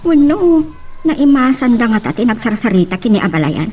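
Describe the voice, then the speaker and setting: A woman's voice: on the high side, sing-song, normal speed, a little mellow, slightly rough. A woman with an Ilocano Filipino accent playing a chatty, caring older mother in a radio drama. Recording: slightly distant mic.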